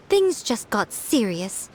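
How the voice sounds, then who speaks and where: An imperious fox shrine maiden in an anime game. Her voice is fairly high and very animated. She sounds theatrical and haughty, with a teasing, coaxing edge. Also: very slow, slight background noise, exaggerated voice. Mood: stern, indignant. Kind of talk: anime video game voice acting.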